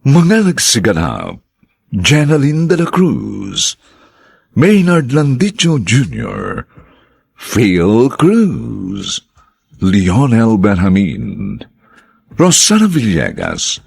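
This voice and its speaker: A man's voice: fairly low pitch, gravelly, breathy edge. A man announcing and narrating a radio drama in a formal, dramatic way. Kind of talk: old-style radio announcing.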